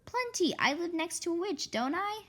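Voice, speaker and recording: Woman voicing a bossy, excitable little girl schemer. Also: very high-pitched, normal speed, pitched above the performer's own voice, heavily acted. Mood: boastful, insistent. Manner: insistent, urgent, sure of herself. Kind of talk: children's book read-aloud character voice.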